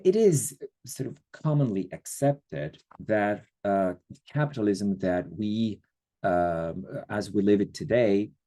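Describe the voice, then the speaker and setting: A middle-aged man from Chile giving an online history lecture, speaking haltingly with many fillers and checking in with listeners. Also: fairly low pitch, warm tone. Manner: slow, hesitant, many fillers, checks in with the audience.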